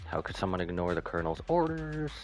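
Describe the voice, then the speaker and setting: Low-voiced man with lively delivery. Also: fast talker, soft background music. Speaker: game streamer. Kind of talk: casual playthrough commentary and reading aloud.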